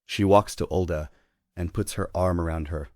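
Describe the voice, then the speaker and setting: A man's voice: deep voice, fairly even intonation, moderate pace, a little mellow, no room sound, clean studio sound. A man narrating stage directions in a scripted table read.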